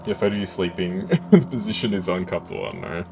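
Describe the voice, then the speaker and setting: A man with a deep voice streaming a game, chatting casually with viewers and thinking aloud as he plays. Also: fast talker, faint music behind. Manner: relaxed, chatty, thinks aloud while reading chat. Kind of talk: casual gameplay chat with viewers.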